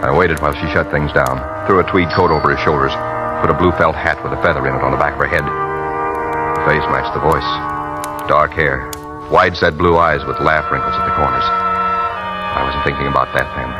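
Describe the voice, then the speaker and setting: An actor playing a haunted, desperate man on the run in an old radio noir, with tense, halting delivery. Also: rumbling low, fairly even intonation. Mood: brooding, tense. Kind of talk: 1940s-50s radio noir acting, confessional narration and dialogue. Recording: vintage radio drama with loud music.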